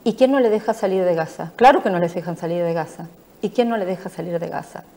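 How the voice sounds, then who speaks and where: Lowish Argentine woman, emphatic. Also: quick pace, mellow. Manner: emphatic and repetitive. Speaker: interviewed politician. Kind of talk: studio interview.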